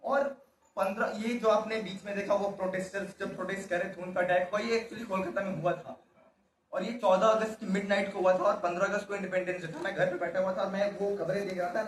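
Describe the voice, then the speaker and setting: A man from Kolkata giving an earnest, halting personal account to an audience, slipping into English at times. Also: high voice, steady tone. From Kolkata, India. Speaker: writer and director of the play. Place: stage venue, speaking to an audience. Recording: echoey, distant mic, faint noise.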